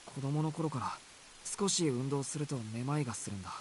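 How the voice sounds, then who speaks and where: A man voicing a mild, polite, sickly young man in a Japanese visual novel, soft-spoken over hissy streamed game audio. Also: medium pitch, animated, racing, close to an everyday voice.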